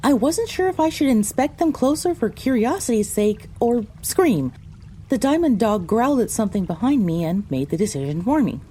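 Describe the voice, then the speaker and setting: Woman voicing a bright-less, higher-pitched pony storyteller. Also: very animated, moderate pace, breathy edge. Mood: dramatic recounting. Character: frightened young female pony recounting her misadventure. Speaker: narrator. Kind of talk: first-person audio drama narration.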